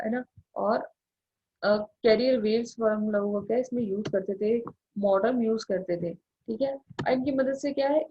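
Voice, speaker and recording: A woman's voice: on the high side, gravelly, warm tone, husky. An Indian woman giving an explanatory lecture, going step by step with frequent check-in tags, in a dull lo-fi recording. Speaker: engineering lecturer. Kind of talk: explanatory classroom lecture mixing Hindi with English terms.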